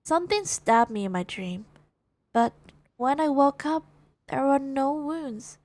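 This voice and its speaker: A woman streamer reading video game dialogue and narration aloud in a measured storytelling manner. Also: high voice, expressive, darkish tone, dry recording.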